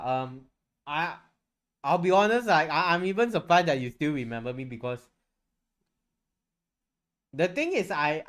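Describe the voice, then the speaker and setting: A man's voice: mid-range voice, a bit bright. A man chatting casually on a livestream, rambling and candid, swearing freely as he goes. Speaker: streamer and VTuber.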